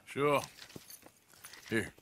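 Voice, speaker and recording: An actor playing a wary Old West outlaw in a video game, with laconic, folksy phrasing. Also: male voice, drawn-out words, fairly bright tone, slight background noise. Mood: practical, doubtful.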